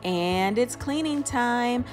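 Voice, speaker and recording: A woman's voice: on the high side, animated, slow pace. A woman in her thirties chatting casually in a home vlog voice-over, rambling with lots of fillers and little laughs. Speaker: home organizing vlogger. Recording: faint background music.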